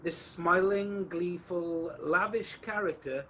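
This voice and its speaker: A man's voice: high voice, slow, bright, forceful tone, a little hiss or hum, full character voice. A man playing the wry, theatrical narrator of a radio play, addressing the listener directly. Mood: wry, incredulous. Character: wry storyteller narrator of a Christmas tale, theatrical.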